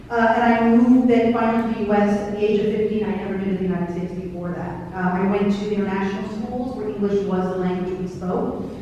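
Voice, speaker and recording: A woman's voice: medium pitch, warm tone. A woman travel writer on a panel, telling her background in an enthusiastic, storytelling way, heard from far off in a large hall. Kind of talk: panel discussion answer. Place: large hall with high windows. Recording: echoey hall, distant, dull, hiss.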